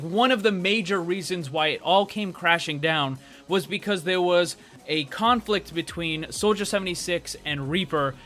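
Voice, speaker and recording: A man's voice: sits high, a bit bright. A man giving casual, conversational commentary as a video game news host, explaining his theories directly to viewers. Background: background music.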